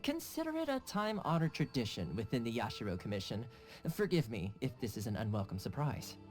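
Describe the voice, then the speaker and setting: A man's voice: medium pitch, very animated, brisk, lightly acted. A man voicing an easygoing, loyal young retainer in a video game, courteous and familiar in manner. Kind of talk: video game character acting. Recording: music playing behind the voice.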